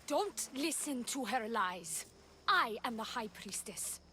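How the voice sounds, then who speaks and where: A high-voiced, imperious priestess. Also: female voice, sing-song, slow pace, pushed and bright, a little hiss or hum, exaggerated voice. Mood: grieving. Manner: formal, commanding, theatrical. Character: proud, imperious ancient Greek high priestess, fiercely dramatic. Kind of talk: video game cutscene acting.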